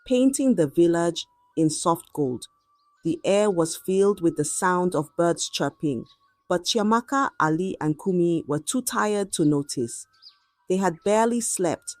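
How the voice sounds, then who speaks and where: A woman telling a dramatic scripted story in an expressive, measured and suspenseful way. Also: fairly low pitch, dry recording.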